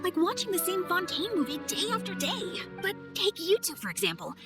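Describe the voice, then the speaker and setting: An actress playing a friendly, quietly wise receptionist in a video game, reflective and measured. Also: female voice, very high voice, wildly swooping pitch, over loud music, exaggerated voice. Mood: relaxed, musing. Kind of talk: video game character voice acting.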